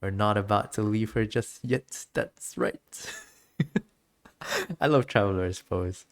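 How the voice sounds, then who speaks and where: A woman casually streaming a game, reading dialogue aloud and reacting in an expressive, giggly way. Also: extremely low pitch, slow pace, no room sound, studio quality.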